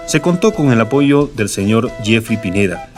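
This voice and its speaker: A man's voice: mid-range voice, expressive, normal speed. A man reading scripted documentary narration. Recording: music playing behind the voice. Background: guitar music.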